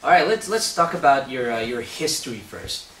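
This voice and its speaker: A man's voice: medium pitch, lively intonation, normal speed, a bit bright. A man with a Filipino accent hosting a casual talk show interview, chatty and hesitant, with many uhs and some Tagalog. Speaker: host. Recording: roomy echo, mic at a distance, faint background hiss.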